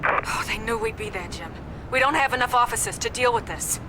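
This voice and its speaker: Brief: actress doing pressed female cop, higher voice, noisy scene. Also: brisk, close to an everyday voice. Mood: frustrated. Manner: complaining, pressed. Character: frustrated female police officer. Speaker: police officer. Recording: game audio with background noise.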